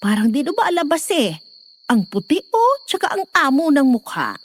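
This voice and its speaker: A woman's voice: high voice, wildly swooping pitch, moderate pace. A woman playing a nosy, chatty middle-aged nanny who teases and dotes, in an audio drama. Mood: teasing, gossipy. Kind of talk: Filipino audio horror drama acting.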